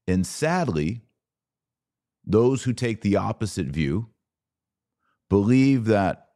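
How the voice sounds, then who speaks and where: A man hosting a podcast, giving solo commentary on the news in an earnest, emphatic way with short, deliberate phrases. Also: fairly low pitch, darkish tone.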